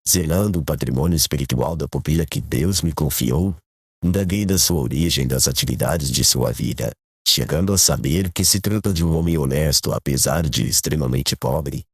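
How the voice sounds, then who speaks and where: An actor playing a calm, tolerant, dignified household guardian in a dramatized audiobook, speaking in measured formal phrases. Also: male voice, a bass voice, husky, no echo, clean studio sound, full character voice. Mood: calm, conciliatory.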